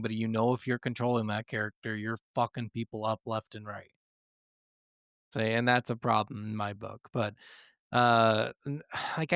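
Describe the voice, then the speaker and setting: A man's voice: mid-range voice, a bit bright, smooth, dry recording, studio quality. A man co-hosting a casual gaming podcast, talking bluntly with plenty of swearing and complaint. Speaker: podcast co-host.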